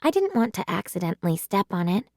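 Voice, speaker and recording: A woman reading a children's story aloud with measured, dramatic storytelling. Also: mid-range voice, very animated, a little mellow, dry recording, clean studio sound. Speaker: children's audiobook reader.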